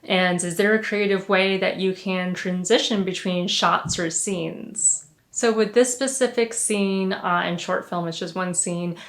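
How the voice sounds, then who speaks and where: A woman's voice: on the low side, fairly even intonation, unhurried. A young woman hosting a vlog, talking casually about her filmmaking process, with many "you know" and "uh" fillers.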